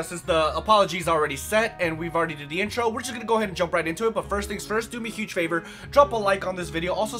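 A young man with a hoarse, raspy voice chatting casually through a let's play, rambling with lots of fillers. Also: sits high, a bit bright. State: hoarse from yelling. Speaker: gaming channel host.

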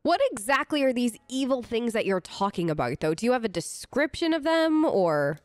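A woman's voice: on the high side, big pitch swings, normal speed. A woman doing casual role-play commentary as a let's player, conversational and improvised.

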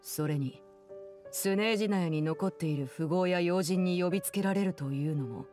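An actress playing an aloof, commanding woman in a Japanese game, speaking in a composed, measured, formal way. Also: fairly low pitch, dark tone, soft background music, natural voice. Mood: cool, dismissive. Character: cool, commanding woman, aloof diplomat and stern guardian. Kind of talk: Japanese anime-game voice acting.

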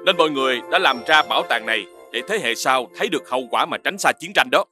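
Quick-talking instructive dad. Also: male voice, mid-range voice, lively intonation, pushed and bright, faint music behind. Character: strict, instructive cartoon dog father. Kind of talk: cartoon dubbing.

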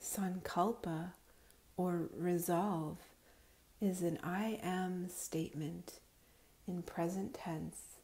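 A woman guiding a relaxation meditation in a calm, soothing, unhurried manner, her voice soft with breathy edges. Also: fairly low pitch. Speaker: yoga nidra guide.

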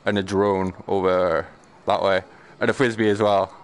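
A man's voice: low voice, little pitch movement, moderate pace, smooth. A man giving casual live game commentary, slangy and sweary, muttering to himself and breaking into exclamations. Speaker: game streamer.

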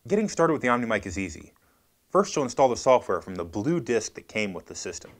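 A man's voice: fairly low pitch, big pitch swings, fast. An American man in his thirties presenting a scripted product demonstration.

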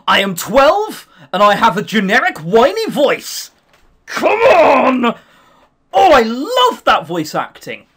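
Sarcastic man reading a game aloud. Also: high voice. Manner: sarcastic, mocking, animated. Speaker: let's play host. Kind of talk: reading a visual novel aloud with comments.

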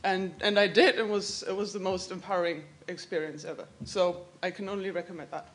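A woman math professor speaking candidly about her life on a panel in a lecture room, open and animated. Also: fairly low pitch, fairly quick. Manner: open, animated, gestures while talking. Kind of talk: candid personal panel remarks.

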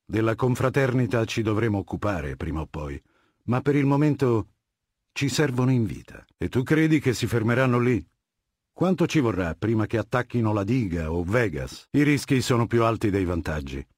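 An actor playing a cold, commanding, intellectual warlord dictator in a video game, speaking with deliberate, lecturing authority. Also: male voice, fairly low pitch, expressive, a little mellow, slightly rough, dry recording, studio quality. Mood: weighing, commanding. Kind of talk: dramatic game dialogue, monologue.

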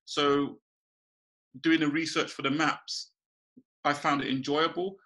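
A man in his thirties from Ghana, a small business owner, chatting relaxed over a video call from a small room at home, laughing often and restarting his sentences. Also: a little high, slow pace. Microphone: video call webcam.

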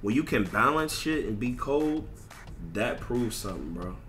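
A man's voice: medium pitch, expressive, moderate pace, a bit bright, faint music behind. A man ranting casually as a gaming streamer, blunt, emphatic and repetitive, with lots of slang and swearing. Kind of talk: casual livestream rant over gameplay.

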